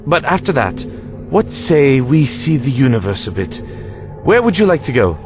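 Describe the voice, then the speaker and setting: Male actor for breezy, reassuring time-travelling hero, animated mid-range delivery, music behind, audio drama. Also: medium pitch, normal speed, close to an everyday voice. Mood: breezy, playful.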